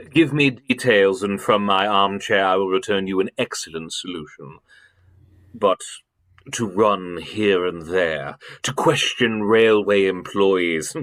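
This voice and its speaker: An actor playing a pompous, imperious elder-brother government official in a radio drama, with emphatic theatrical delivery. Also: male voice, fairly low pitch, a bit bright. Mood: urgent, haughty.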